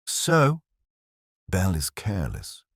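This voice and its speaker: A man's voice: low voice, wildly swooping pitch, slow pace, breathy edge, no room sound, clean studio sound, pitched above the performer's own voice, full character voice. A man voicing a pompous, brooding older detective who speaks in formal, clipped, coldly precise sentences in an audiobook story. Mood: brooding, decisive. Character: pompous, imperious older male genius detective, terse and brooding.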